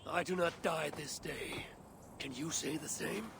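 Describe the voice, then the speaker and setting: Game hero, grim determined warrior, gravelly and creaky, clear ambient noise behind. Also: male voice, a little high, fairly even intonation, a little slow. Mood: defiant.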